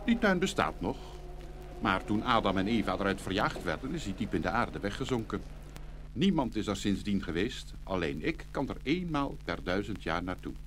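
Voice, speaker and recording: A kindly east wind in a kids radio play, lowish voice that moves around a lot, hissy tape. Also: male voice, very animated, moderate pace. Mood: friendly, eager. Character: gentle, obliging East Wind, a flying son. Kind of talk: children's fairy-tale radio play acting.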